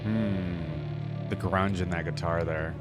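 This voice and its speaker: A man's voice: low-pitched, steady tone, slow pace. A man giving casual, musing reaction commentary as the host of a reaction video. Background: music from the watched video.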